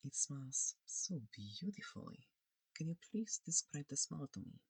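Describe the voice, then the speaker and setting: A soft-spoken ASMR performer of unclear sex playing a curious, gentle alien examiner, halting and clinical yet reassuring, breathy and often whispering close to the microphone. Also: medium pitch, sing-song, no echo. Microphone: close, dry microphone.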